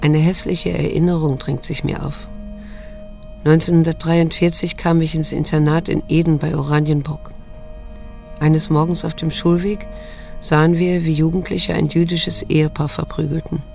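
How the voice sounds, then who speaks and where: An actress playing a wounded, restrained middle-aged daughter who narrates in a radio drama, measured and introspective. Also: female voice, low voice, flat delivery, gravelly, darkish tone, faint music behind. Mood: tense, reflective.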